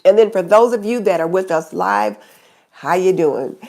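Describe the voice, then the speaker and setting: A woman's voice: lowish voice, lively intonation, normal speed, a little mellow. Chatty, congested woman live-streaming. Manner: chatty, greets viewers by name. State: stuffy nose from a cold. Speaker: YouTube channel host. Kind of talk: casual live stream chat.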